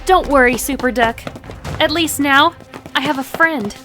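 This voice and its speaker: A woman voicing a gentle, devoted young heroine in a comic dub. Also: high-pitched, unhurried. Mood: tender. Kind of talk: amateur comic dub acting. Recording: music behind the voice.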